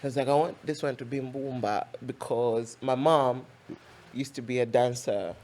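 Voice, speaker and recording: A Malawian woman with a really deep voice, a guest on a podcast, chatting candidly and laughing as she tells stories. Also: fairly even intonation, normal speed, a little mellow. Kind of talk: casual podcast chat.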